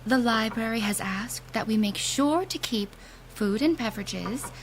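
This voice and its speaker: An actress playing a solemn, gentle bereavement group leader in a fiction podcast, speaking in a measured, formal manner. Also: female voice, on the high side, slight background noise. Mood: solemn, welcoming. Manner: measured, formal, deliberate phrasing.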